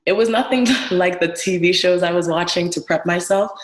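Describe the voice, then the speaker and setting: A woman's voice: lowish voice, normal speed. Woman's voice in an echoey room. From Ghana. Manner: friendly, laughs easily, relaxed storytelling. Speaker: guest, small business owner. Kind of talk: casual interview conversation.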